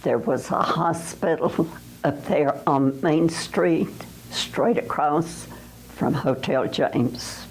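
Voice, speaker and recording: Slow low-voiced woman in her eighties. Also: slight background noise, low-quality recording. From McDowell County, North Carolina. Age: 80s. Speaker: interviewee.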